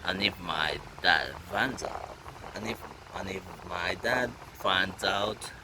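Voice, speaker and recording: Deep-voiced man repeating English phrases. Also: slow pace. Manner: repeats English phrases, then translates them to Spanish. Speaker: language learner drawing while practicing. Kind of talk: casual practice while painting. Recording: noisy home recording.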